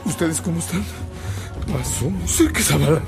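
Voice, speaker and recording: A man's voice: fairly high pitch, normal speed, creaky, loud music behind the voice. An actor playing a brave, protective man in danger in a Spanish-language radio drama. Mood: shaken, relieved. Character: brave, urgent man protecting others under gunfire.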